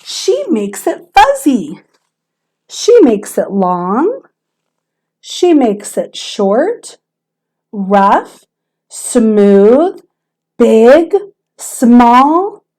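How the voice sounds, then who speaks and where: Lady teacher doing a kids book read aloud, high voice, big swoopy theatrical delivery. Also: drawn-out words, long pauses. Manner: theatrical, expressive, emphatic on key words. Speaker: teacher reading aloud to children.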